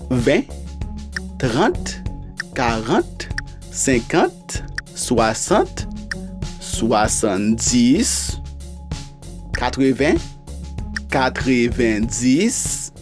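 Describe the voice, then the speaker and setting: A man teaching a beginner language lesson in an upbeat, encouraging way, spelling out each phrase carefully. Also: mid-range voice. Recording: dry, faint music behind.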